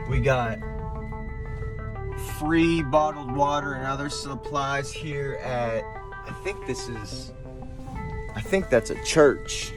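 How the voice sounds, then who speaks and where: Slow, halting American man over loud music. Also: medium pitch. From eastern Ohio, USA. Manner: halting, fragmented phrases with trailing thoughts. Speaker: vlogger. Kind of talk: casual driving vlog commentary. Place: inside a moving car. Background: music and vehicle sound.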